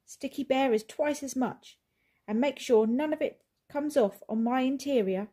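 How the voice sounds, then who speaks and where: A woman voicing a gruff, bitter male taxi driver in a children's story, growling his complaints. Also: sits high, a little slow, warm tone, voice pushed up. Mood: gruff, grumpy. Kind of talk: children's storybook read aloud.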